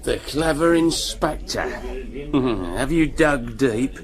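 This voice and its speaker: A man's voice: mid-range voice, slow, crowd noise, close to an everyday voice. An actor playing a very drunk, boastful village man, slurred, rambling and defiant, in a radio drama. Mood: belligerent, taunting.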